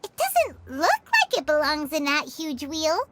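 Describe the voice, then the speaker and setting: An actress voicing a tiny, perky and blunt female fairy companion in a video game, squeaky and childlike. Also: sing-song, a little slow, heavy background noise. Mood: perky, curious. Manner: squeaky, childlike, third-person self-reference. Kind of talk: video game cutscene acting.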